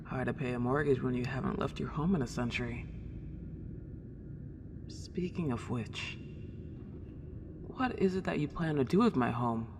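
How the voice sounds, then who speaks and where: A woman's voice: very deep voice, normal speed, long pauses. A woman playing a friendly, polite and lonely ghost girl a century old, chatting in a genteel, old-fashioned way in an ASMR roleplay drama. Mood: puzzled, then worried, pleading. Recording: long reverb, clear background noise.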